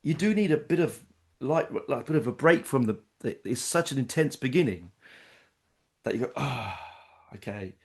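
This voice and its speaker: Gravelly-voiced man, eager interviewer. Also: mid-range voice, lively intonation, normal speed, darkish tone. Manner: enthusiastic, chatty. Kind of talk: casual interview chat.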